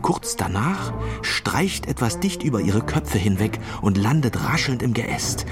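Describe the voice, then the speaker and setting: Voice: medium pitch, sing-song, unhurried, over loud music. A storyteller reading a children's tale expressively as narrator.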